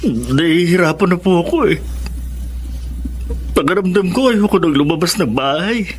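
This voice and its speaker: A troubled teenage boy in a Filipino radio drama. His voice sits high for a male, and he speaks with resentment, stumbling when he gets upset. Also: lively intonation, moderate pace, slight background noise. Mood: distressed, pleading. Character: troubled, resentful teenage son of separated parents.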